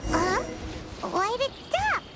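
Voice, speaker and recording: Tiny fairy girl, very high, bouncy, game audio with music. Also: very animated, takes it slowly, full character voice. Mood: excited. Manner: eager, exclaiming, scolding. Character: small excitable fairy companion, female, childlike. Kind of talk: video game voice acting.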